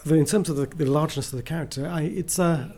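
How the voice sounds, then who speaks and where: A man's voice: fairly high pitch, steady tone, fast talker, a little mellow. A man being interviewed as a writer, chatting casually and enthusiastically, often rambling and breaking into his own sentences.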